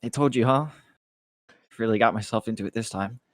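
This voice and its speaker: A man's voice: fairly low pitch, brisk, no echo, close to an everyday voice. A man performs a young college student on the run, reading his part at a script table read. He is frightened and guilty, a son out of his depth. The sound is dry and close, with little room in it. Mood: sheepish.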